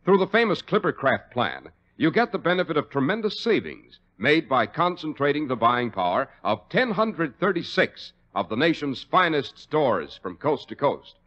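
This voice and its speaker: A man's voice: fairly high pitch, very animated, normal speed, few pauses, fairly bright tone. A man with an American accent reading a scripted 1940s radio commercial in an emphatic sales style. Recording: muffled old radio recording.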